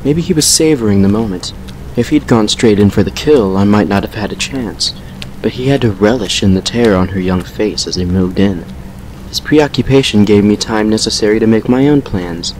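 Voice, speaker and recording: A man playing a brooding vampire who recounts a painful past with measured regret in an audio drama. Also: lowish voice, darkish tone, a little hiss or hum, natural voice, low-quality recording. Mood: grim, regretful. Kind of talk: fan fiction audio drama monologue.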